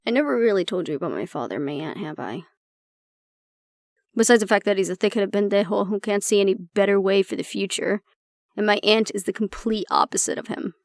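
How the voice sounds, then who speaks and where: A woman's voice: medium pitch, fairly quick, dry recording, studio quality, lightly acted. A woman acting in an audio roleplay as an exasperated, blunt agent, talking intimately and sardonically to the listener. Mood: frustrated, resigned. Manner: blunt, sardonic, conversational, swearing. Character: exasperated woman agent venting about her stubborn, disapproving father.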